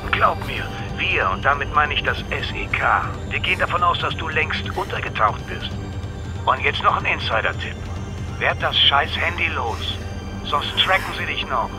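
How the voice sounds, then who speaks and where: A smug, menacing bent cop played by a man in a radio drama. His voice sits fairly high, and he lays out threats in wordy, deliberate fashion over clearly audible music. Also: lively intonation, close to an everyday voice. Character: corrupt menacing police officer leaving a smug threat.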